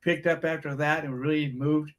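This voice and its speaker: A soft-spoken man co-hosting a casual movie review podcast, talking quietly. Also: a little high, fairly even intonation, moderate pace. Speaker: podcast co-host.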